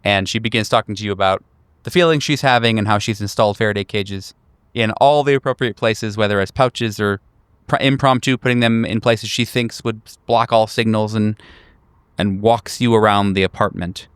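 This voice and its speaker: A man's voice: lowish voice, normal speed, smooth, no echo. A man narrating a tabletop horror game as its game master.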